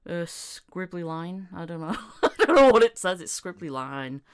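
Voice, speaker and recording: A woman hosting a casual podcast interview, giggly and quick to laugh as she asks her questions. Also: on the low side, lively intonation, clear, resonant tone. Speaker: podcast host.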